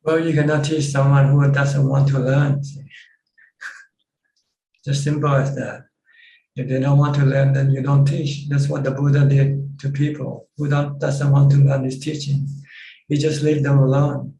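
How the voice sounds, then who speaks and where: A man with a Thai accent teaching as a Buddhist monk in an informal question-and-answer talk, halting and plain-spoken. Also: medium pitch, flat delivery, gravelly, warm tone. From Thailand. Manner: halting, with pauses and repeated phrases. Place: video call from a roomy space. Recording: roomy distant mic with background noise.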